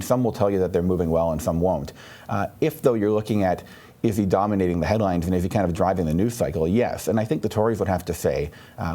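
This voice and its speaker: A man, a political columnist, giving quick, hedging analytical answers with frequent fillers, on a studio mic. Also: low voice, warm tone. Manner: rapid, hedging, many fillers.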